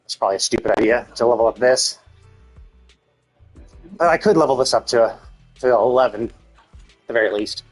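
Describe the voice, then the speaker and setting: A man's voice: medium pitch, normal speed, pauses often, slightly rough, rough recording. A man thinking aloud in a rambling, casual way as he streams a video game. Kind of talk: casual livestream gameplay chat. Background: music.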